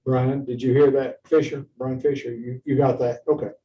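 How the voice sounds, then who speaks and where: A man's voice: medium pitch, little pitch movement, moderate pace, muffled recording. A man acting as chairman, running a formal meeting with procedural questions and remarks. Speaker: commission chairman. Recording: distant mic, faint noise.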